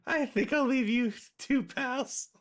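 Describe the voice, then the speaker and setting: A person voicing a caring, upbeat mother with a soft, coaxing manner, in a table read. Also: mid-range voice, extremely slow, voice pushed up. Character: caring, lightly upbeat mother of a sick child.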